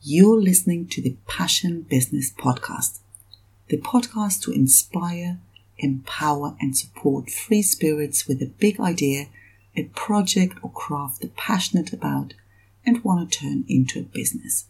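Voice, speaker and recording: A woman's voice: low-pitched, animated, a little slow, gravelly, darkish tone, slightly rough, rough recording. A woman hosting a business podcast, chatting casually with a guest in an upbeat way and laughing often. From not Australian, has lived in Australia. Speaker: podcast host and business coach. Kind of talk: casual interview chat.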